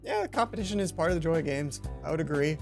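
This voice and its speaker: A woman's voice: deep voice, animated, fast talker, creaky, darkish tone. A woman let's play host reading visual novel text aloud with casual asides, sometimes stumbling and correcting herself. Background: game music.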